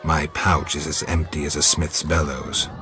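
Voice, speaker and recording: An actor playing a gruff, stoic monster hunter for hire in a video game, speaking in a terse, deadpan way. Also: male voice, extremely low pitch, moderate pace. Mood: dry, wary. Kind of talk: video game dialogue acting.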